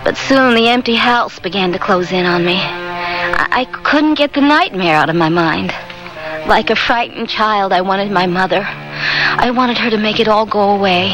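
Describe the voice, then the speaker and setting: A woman's voice: medium pitch, normal speed, lightly acted, poor audio. An actress playing a young married woman haunted by nightmares, speaking anxiously and dramatically in a radio play. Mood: troubled, confiding. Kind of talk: 1970s-style radio drama acting. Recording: vintage radio drama with prominent music.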